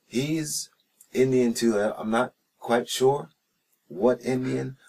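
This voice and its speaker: A man's voice: mid-range voice, slow pace, vocal fry, a little mellow. A man with a slight Jamaican-sounding lilt, answering interview questions in a recording studio and pronouncing his words carefully, with many "you know"s. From New Jersey, raised in North Carolina. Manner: careful enunciation, frequent "you know" and restarts. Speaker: reggae singer-songwriter, interview guest.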